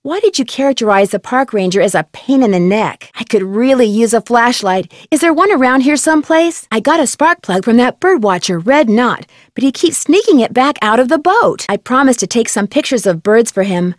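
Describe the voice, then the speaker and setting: A woman playing a curious, polite young female detective in a video game, asking questions in a clear, inquisitive manner. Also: a little high, animated, normal speed, few pauses, no room sound, studio quality, natural voice. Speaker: game protagonist. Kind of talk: scripted video game dialogue.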